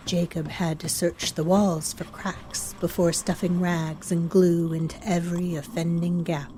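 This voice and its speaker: Female narrator for horror audio drama: measured, mellow, ominous, over background ambience. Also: on the low side, slight background noise, rough recording. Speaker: storyteller, narrator.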